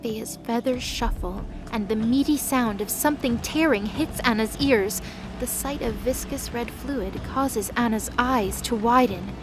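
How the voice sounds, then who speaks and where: A woman's voice: fairly high pitch, a little slow, music in the background, low-quality recording. A woman reading dramatic scripted narration for a fantasy audio drama.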